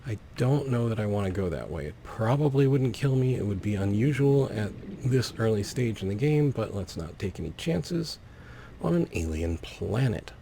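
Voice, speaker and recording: Low, mellow, creaky-voiced male gamer. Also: lively intonation, moderate pace, a little hiss or hum. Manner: narrates own actions in short clipped phrases. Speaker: gaming host. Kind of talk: let's play commentary. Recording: faint game ambience behind voice. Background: video game ambience.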